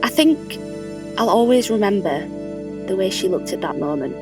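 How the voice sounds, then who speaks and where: Reflective stranger narrator, audio drama, female actor. Measured, wistful, medium pitch, faint music bed. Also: lively intonation, lightly acted. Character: reflective, observant stranger recalling a park bench encounter.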